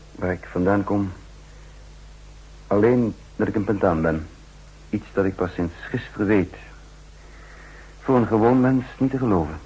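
Low, very husky double agent. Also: male voice, mellow, poor audio. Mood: subdued, uncertain. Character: thoughtful, troubled male double agent narrating his story. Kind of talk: 1960s Dutch radio play acting and narration.

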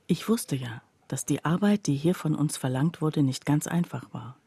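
An actress playing a skeptical woman confiding about her friend in a radio play, her voice husky and breathy and her delivery hushed. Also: sits low, expressive, moderate pace, dry recording, exaggerated voice. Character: skeptical female friend recounting her friend's odd behaviour. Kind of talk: literary radio play narration.

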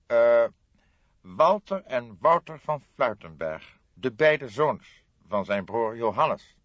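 A man's voice: medium pitch, sing-song, takes it slowly, fairly bright tone, dry recording, lo-fi sound. A man voicing a short, round-faced notary in an audiobook, stiffly formal, self-important and guarded. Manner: stiffly formal, officious, hesitant when pressed. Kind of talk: audiobook character acting.

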